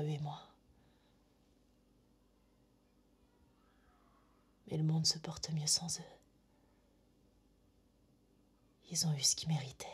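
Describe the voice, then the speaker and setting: An actress playing a menacing, eerily calm young witch in a film monologue, speaking in a slow, deliberate, breathy hush. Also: sits low, heavily acted. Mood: cold, resolute. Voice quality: breathy, hushed near-whisper. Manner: slow, deliberate, intimate, taunting.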